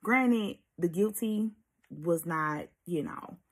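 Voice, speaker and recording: Lively, slightly husky woman chatting. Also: fairly low pitch, slow. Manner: animated, emphatic, sassy asides with pet names. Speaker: host of an audiobook review vlog. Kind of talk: casual chatty video commentary.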